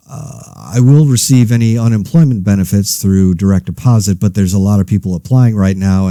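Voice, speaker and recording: A man playing a weary, anxious podcast host in a zombie outbreak, speaking casually with dry, wry, halting asides. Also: sits low, warm tone, dry recording. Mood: dry, uneasy. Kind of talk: casual monologue, scripted fiction podcast.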